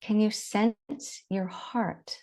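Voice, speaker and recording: A woman leading a guided body scan meditation, giving gentle, unhurried instructions with long pauses. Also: mid-range voice, fairly even intonation.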